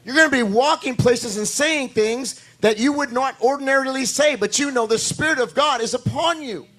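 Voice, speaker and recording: A hoarse male pastor preaching a sermon in a church, rising into emphatic declarations. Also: extremely high pitch, normal speed, a bit bright, rough recording.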